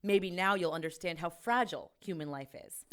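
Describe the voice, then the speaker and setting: A woman's voice: on the low side, normal speed, smooth, lightly acted. A woman playing a tough, exasperated ex-cop in an audio drama, with a blunt, sardonic manner. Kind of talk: naturalistic audio drama acting.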